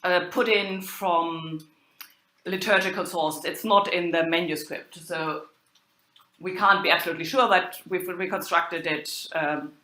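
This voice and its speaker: A woman giving an academic lecture as a university lecturer, speaking haltingly and thinking aloud. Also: lowish voice, fairly even intonation, pushed and bright. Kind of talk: academic lecture on medieval drama. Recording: roomy, echoing sound.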